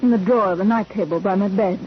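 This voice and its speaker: A woman's voice: medium pitch, quick pace, natural voice. An actress playing a curt, resentful mother who works at a club, testifying tersely in a 1940s radio courtroom drama. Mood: guarded. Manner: terse, informal witness testimony. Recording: muffled, noisy old 1940s radio recording.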